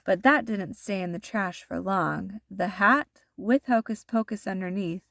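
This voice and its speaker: A woman narrating a children's storybook aloud in an expressive, deliberate storytelling manner. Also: medium pitch, gravelly, dry recording, clean studio sound.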